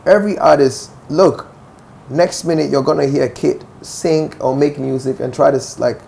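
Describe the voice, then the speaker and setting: Gravelly Ghanaian man, assertive musician. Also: a little high, normal speed, mellow. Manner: assertive, with frequent "do you understand?" asides. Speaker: musician guest. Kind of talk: interview answers.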